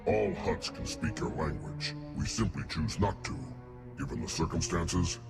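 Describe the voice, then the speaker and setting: Casting: huge conceited slug-alien genius in a game, bottomless gravelly bass, pompous and condescending. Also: male voice, expressive, unhurried, exaggerated voice. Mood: haughty. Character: haughty male Hutt scientist, huge slug-like alien genius. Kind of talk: video game cutscene acting. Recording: music playing behind.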